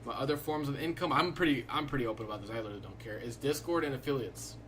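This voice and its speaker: A man's voice: mid-range voice, rapid-fire, a bit bright, a little hiss or hum. Laid-back young male streamer. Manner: laid-back, rambling, fills talk with "like". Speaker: livestreaming day trader. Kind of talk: casual live-stream chat.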